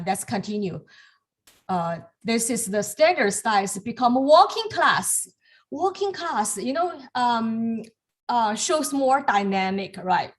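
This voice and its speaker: Slow, halting woman teaching on a video call. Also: medium pitch. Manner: slow, halting, many fillers and restarts. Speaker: calligraphy teacher. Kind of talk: online class teaching with demos.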